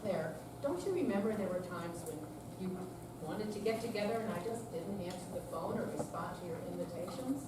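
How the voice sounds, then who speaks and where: A woman's voice: mid-range voice, lively intonation, brisk, close to an everyday voice. An older woman in an amateur staged reading, playing a patient, candid retired woman and devoted daughter. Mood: candid, earnest. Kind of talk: amateur readers theater. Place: senior center, recorded from afar. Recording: far-off echoey room, clear background noise.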